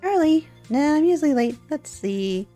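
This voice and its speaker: A high-pitched, exuberant schoolgirl from a visual novel, put on by a woman. She is loud, brisk and bossy, bursting with energy over faint music. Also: expressive. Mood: breezy, bossy.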